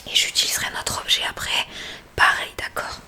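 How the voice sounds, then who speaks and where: A woman speaks in a breathy, bright whisper. She plays an examiner in an ASMR roleplay, talking directly to the listener. Her manner is gentle and attentive as she explains each step. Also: moderate pace. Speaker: ASMR creator playing a hearing examiner.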